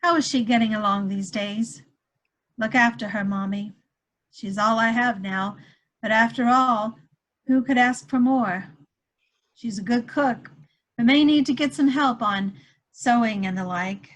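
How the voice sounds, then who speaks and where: A woman's voice: fairly high pitch, a little slow, pauses often, close to an everyday voice, low-quality recording. A woman playing the writer of a tender soldier's letter home in an amateur play reading over a video call. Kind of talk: amateur play table read.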